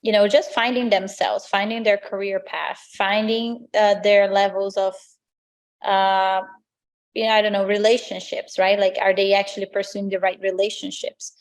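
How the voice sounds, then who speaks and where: A woman's voice: medium pitch, fairly even intonation, moderate pace. A woman from Brazil with a Brazilian accent, a native Portuguese speaker, answering interview questions as a lifestyle coach in an earnest, motivational way. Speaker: lifestyle coach, podcast guest.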